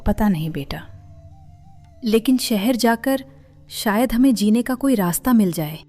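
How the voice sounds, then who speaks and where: A woman voicing a struggling village mother, speaking softly and gently over faint music. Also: mid-range voice, expressive, a little slow, voice pushed up. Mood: hushed.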